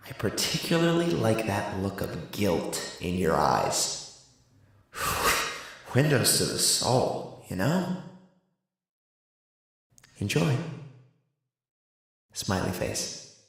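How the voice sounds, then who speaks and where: Male actor as horror-story sadist: low, swooping delivery, chummy taunts, casual menace, heavily reverberant. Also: big pitch swings, very slow, gravelly, long pauses, fairly bright tone, full character voice. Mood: smug, taunting. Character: gloating sadistic male stalker, mocking and falsely chummy. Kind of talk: villain monologue in horror audio story.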